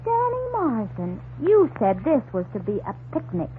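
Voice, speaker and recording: An actress playing a pert, teasing young newspaper assistant in a 1940s radio drama, lively and bantering. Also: female voice, sits high, normal speed. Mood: skeptical banter. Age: young adult. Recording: tinny, noisy 1940s radio recording.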